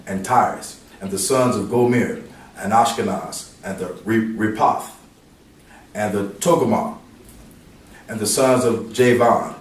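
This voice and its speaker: Low-voiced man in an echoey room. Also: measured pace, slight background noise. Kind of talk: casual religious discussion. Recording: echoey room, distant mic.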